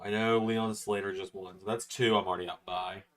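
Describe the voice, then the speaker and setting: A man's voice: fairly low pitch, little pitch movement, fairly quick, fairly bright tone. A man hosting a live reaction stream, chatting casually and thinking aloud with fillers. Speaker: stream host.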